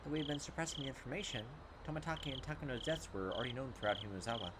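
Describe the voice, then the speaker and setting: A man's voice: medium pitch, expressive, racing, very noisy. A young man hosting a gaming video, reading game text aloud and adding casual commentary.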